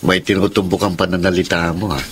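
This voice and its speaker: Low-voiced, guarded Filipino loyal friend. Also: male voice, flat delivery, normal speed, a bit bright, a little hiss or hum. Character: evasive, discreet friend of a troubled husband. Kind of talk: Filipino radio drama acting.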